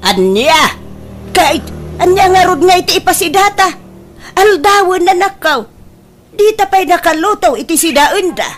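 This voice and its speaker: A woman's voice: extremely high pitch, expressive, normal speed. An actress playing a blunt, scolding middle-aged village mother in an Ilocano radio drama. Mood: exasperated.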